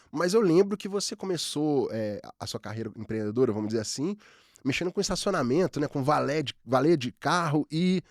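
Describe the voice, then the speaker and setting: A man hosting a casual podcast who talks fast and loose, full of slang and fillers. His voice is mid-pitched and recorded close and dry on a studio mic. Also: no echo. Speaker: podcast host.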